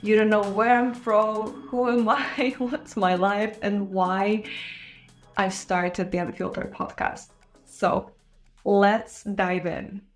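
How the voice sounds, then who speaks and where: A young woman with a foreign-accented English hosts her podcast, telling her own story in a candid, unhurried way. Her pitch sits mid-range, and soft laughs slip in. A little music plays faintly behind her. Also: fairly even intonation. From Israel. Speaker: podcast host. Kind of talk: casual personal monologue to camera.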